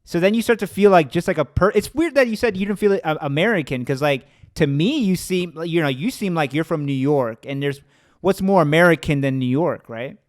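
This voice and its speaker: Chicago man in his thirties hosting a chat show, slightly high voice, animated and quick to laugh, dry close mic. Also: no echo. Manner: animated, laughs often, trails into restarts. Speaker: podcast host. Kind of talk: casual conversation.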